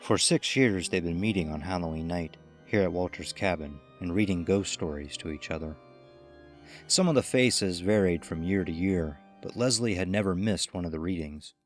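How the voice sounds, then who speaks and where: A man reading a scripted horror story as its narrator. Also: deep voice. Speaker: audiobook narrator.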